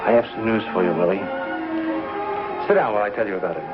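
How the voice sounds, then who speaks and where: An actor playing a devout, affectionate and persuasive pioneer husband in a 1950s television western. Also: male voice, fairly low pitch, quick pace, natural voice. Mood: earnest. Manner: earnest, persuasive. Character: devout, earnest frontier husband and father, affectionate. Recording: muffled 1950s TV soundtrack, music behind.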